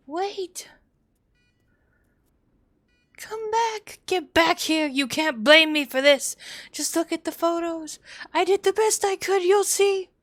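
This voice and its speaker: Slow-paced woman streaming games, emotive. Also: high voice, fairly bright tone. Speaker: live streamer playing games. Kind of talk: casual live game-stream commentary.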